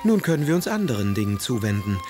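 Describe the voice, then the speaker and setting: An actor playing a passionate, melancholic and sardonic Romantic poet in a literary radio drama, with a husky voice. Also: male voice, fairly low pitch, very animated, fast, music in the background. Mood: wounded, brusque. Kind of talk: literary radio drama, reading letters aloud.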